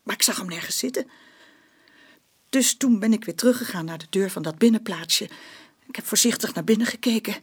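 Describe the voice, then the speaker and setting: An actress playing a bitter, stubborn working-class mother giving a confession in a Dutch radio play, speaking colloquially and haltingly. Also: mid-range voice, breathy edge, natural voice. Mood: anxious recounting.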